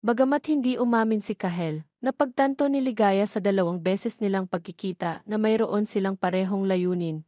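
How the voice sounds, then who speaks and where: A woman's voice: mid-range voice, a little mellow, no room sound, studio quality. A woman reading a scripted story as its narrator.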